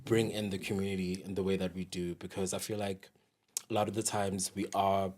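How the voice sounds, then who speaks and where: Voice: low voice, little pitch movement, brisk, darkish tone. A podcast guest with a South African accent, chatting casually and conversationally in an interview.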